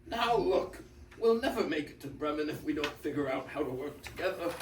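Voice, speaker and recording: A man's voice: fairly high pitch, expressive, fairly quick, natural voice. A teenage boy playing an earnest, bossy old donkey who leads the animals in a classroom reader's theater. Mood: rallying. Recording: echoey classroom, distant mic, faint noise.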